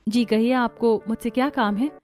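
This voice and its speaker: A woman's voice: on the high side, steady tone, normal speed, darkish tone, close to an everyday voice. A woman playing a poor, dutiful young woman in a moral story, speaking politely and earnestly. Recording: music under the voice.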